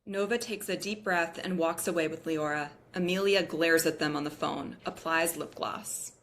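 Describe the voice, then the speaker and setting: A woman with a lowish voice narrates a script at a table read. She reads out the stage directions between the character parts. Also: normal speed, fairly bright tone.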